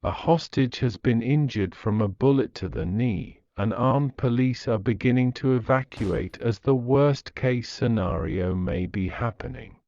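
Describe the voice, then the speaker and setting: A man reading a scripted emergency alert in a flat, formal announcer manner. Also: medium pitch, unhurried, few pauses, dry recording, dull audio.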